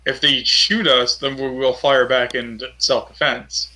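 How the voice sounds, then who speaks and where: A man's voice: medium pitch, fairly even intonation, a little slow, sharp tone, poor audio. A man playing a tabletop role-playing game, chatting casually in character with the other players.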